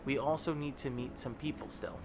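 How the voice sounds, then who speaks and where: A man's voice: mid-range voice, fairly quick, rough recording. A man with a stuffy, nasal voice giving casual, joking commentary as a let's play host. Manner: chatty, joking, with many fillers.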